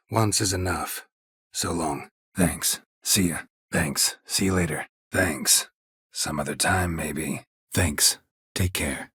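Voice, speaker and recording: An American man voicing a stoic, world-weary, middle-aged monster hunter in a video game, with a gravelly, husky voice and a deadpan, clipped delivery. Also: rumbling low, dry recording, studio quality, heavily acted. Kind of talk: video game character dialogue lines.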